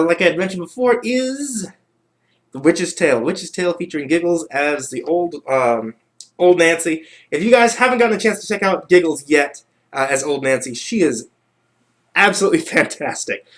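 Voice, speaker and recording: A man's voice: on the high side, fairly bright tone. A man hosting a livestream in casual, rambling chat, often repeating himself as he goes. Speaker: livestream host.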